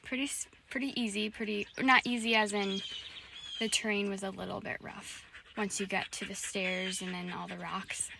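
A woman's voice: medium pitch, level tone, moderate pace. A young woman in her twenties, casually vlogging to her phone about a hike with her dog, chatty and upbeat. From Minnesota. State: sweaty after a climb. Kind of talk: casual vlog chat. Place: in a car and outdoors on a wooded bluff. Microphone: phone camera held at arm's length. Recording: phone recording with clear background noise.

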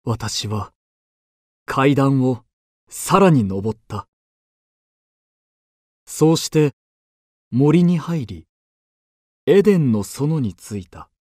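An actor playing an earnest poet-pilgrim who recounts his journey deliberately as first-person radio drama narration. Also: mid-range voice, animated, a little mellow, no room sound, clean studio sound. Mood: earnest narrating.

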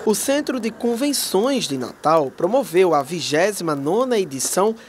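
A man reading a news report as voice-over, in a lively, animated way. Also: sits high, moderate pace, a little hiss or hum.

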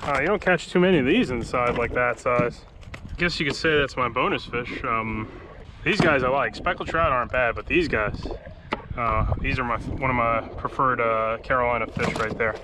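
A man's voice: mid-range voice, moderate pace. Easygoing guy in his 30s talking fishing from his kayak, action cam on the boat, wind and water hiss behind him. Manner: laid-back, chatty, excited exclamations over catches. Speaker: fishing vlogger. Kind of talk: casual running commentary while fishing. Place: kayak on open water under a pier, outdoors. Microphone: action camera mounted on kayak. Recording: outdoor wind and water noise. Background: water and wind ambience.

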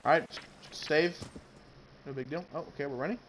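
A man with a slightly high voice narrates his playthrough casually, chatting to the characters and swearing a little. He is on a noisy, grainy Bluetooth headset, with game sounds and clopping hooves behind him. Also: normal speed. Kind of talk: casual let's-play commentary. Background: game audio with horse hooves.

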